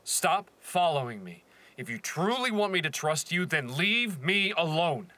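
A man's voice: a little high, sing-song, a little slow, bright, forceful tone, exaggerated voice. A man playing a wary, bitter young outsider in an audio drama, edgy and confrontational. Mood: angry.

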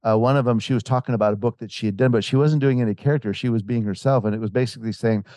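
A man talks as an author guest in an interview, rambling through a story with frequent restarts. His voice is dark and mellow, and the recording is dry and close. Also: mid-range voice, steady tone, racing, dry recording. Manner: rambling, with many restarts and fillers; acts out quoted voices. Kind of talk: casual conversational storytelling.

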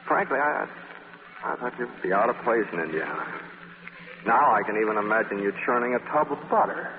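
An actor playing a cynical, flirtatious, wisecracking feature writer in a sardonic 1940s radio style. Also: male voice, mid-range voice, expressive, fairly quick, lightly acted. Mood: teasing, flustered. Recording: muffled, noisy 1940s radio recording, reverberant.

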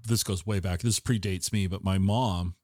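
A man's voice: low-pitched, fairly even intonation, normal speed, a little mellow, no echo. A man with an American accent hosting a casual interview, rambling and interrupting himself as he goes. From Tacoma, Washington, United States. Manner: rambling, self-interrupting questions and anecdotes. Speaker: interview show host.

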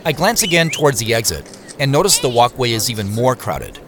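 A man's voice: medium pitch, very animated, brisk, a crowd in the background. An actor playing an uneasy man in disguise who narrates his own story in the present tense for an audio drama. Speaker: narrator of the story in first person.

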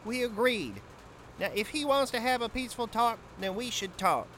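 A man's voice: extremely high pitch, lively intonation, moderate pace, very noisy. A man playing an exasperated, no-nonsense meeting leader who keeps order in a firm, plain-spoken, folksy way.

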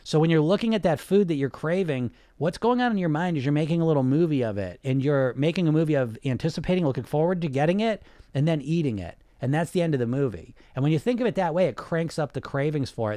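A man's voice: on the high side, animated, fast talker, few pauses, mellow, no room sound, clean studio sound. A man hosting a podcast as a weight-loss coach, talking in a casual, emphatic way with lots of "you know" fillers. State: sniffling. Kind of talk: casual monologue.

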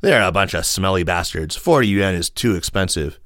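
A man reading scripted business news stories as a podcast host, in a measured, formal way with added emphasis on quotes. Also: low voice, animated, a bit bright.

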